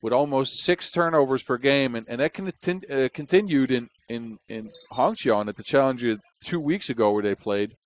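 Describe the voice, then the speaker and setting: A man's voice: medium pitch, moderate pace, few pauses. A man from the Netherlands with a Dutch accent, giving chatty, wry play-by-play basketball commentary on a close mic. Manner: chatty and wry, with jokes between plays.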